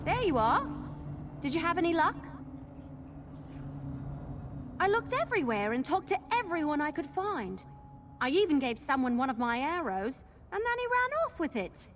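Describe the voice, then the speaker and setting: An actress playing an earnest, chatty young female wood elf archer in a video game, informal and expressive. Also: high voice, normal speed, a little hiss or hum. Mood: eager, exasperated. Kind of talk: video game character dialogue.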